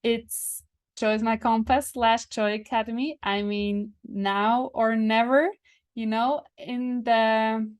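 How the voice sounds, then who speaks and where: A woman whose first language is Swiss German, hosting her own podcast in English and making a casual announcement. She pauses to search for words, with fillers and restarts. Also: a little high. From Switzerland. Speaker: podcast host.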